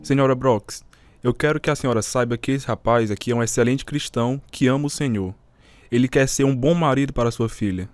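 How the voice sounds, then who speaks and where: Actor as solemn pastor, dry close voice. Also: male voice, medium pitch, a little fast, a little mellow, no room sound, soft background music, natural voice. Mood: respectful, sincere. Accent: Brazilian. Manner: solemn, preaching. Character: solemn, firm pastor defending a young couple. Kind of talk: radio drama acting.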